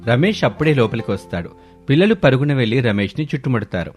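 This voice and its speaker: A man's voice: medium pitch, sing-song, quick pace. A man reading scripted story narration as the narrator. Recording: music bed under voice. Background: background music.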